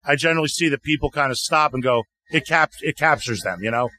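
A man talking about his music as an interview guest, loose and conversational, leaning on "you know" between thoughts. His voice sits a little high for a man and comes through bright and forward. The sound is close and dry. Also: quick pace, no room sound. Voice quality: deeper voice. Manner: relaxed, chatty, frequent "you know" fillers. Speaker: guest singer-songwriter. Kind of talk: casual interview answers. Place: outdoor music festival.

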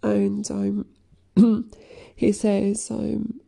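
A woman chatting casually, sniffly from crying, halting with many fillers and frequent throat clearing. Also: mid-range voice, monotone, dark tone. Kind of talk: casual rambling chat.

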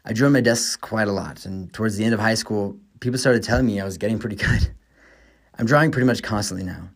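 A young man in his twenties hosts a podcast, reading a scripted monologue in an upbeat, enthusiastic way. His voice sits a little low for a man. He is from the United States. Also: quick pace. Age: 20s. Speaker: podcast host.

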